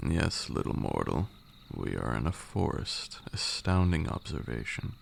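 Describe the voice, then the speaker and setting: A man playing a commanding vampire lord and magic mentor in a roleplay ASMR, with a gravelly voice and a deliberate, dryly sarcastic manner. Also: a little hiss or hum, exaggerated voice. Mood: dry, teasing. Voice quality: gravelly, very deep, breathy at times. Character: stern, teasing old male vampire lord, mentor in magic.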